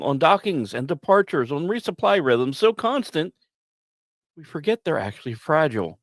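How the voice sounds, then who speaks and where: Animated male space news podcaster, dry close sound. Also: a little high, no echo. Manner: dramatic storytelling with conversational asides. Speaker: podcast host. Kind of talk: scripted narration of space news.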